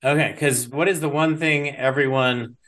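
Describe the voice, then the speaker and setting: A man's voice: medium pitch, fairly even intonation, moderate pace, fairly bright tone. Conversational man hosting a business podcast. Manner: conversational, thinks aloud with restarts. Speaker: podcast host. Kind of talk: casual business interview.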